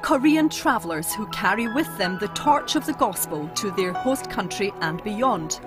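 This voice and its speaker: A woman reading scripted documentary narration in a calm, deliberate way. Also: medium pitch. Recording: music bed underneath.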